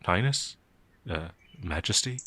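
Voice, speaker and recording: Man playing a timid, low-voiced gentle werewolf. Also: lively intonation, slow, slightly rough, heavily acted. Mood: flustered, submissive. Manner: hesitant, stammering, apologetic, flustered. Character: gentle, timid, anxious male werewolf outlaw, easily frightened. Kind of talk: ASMR fantasy audio roleplay.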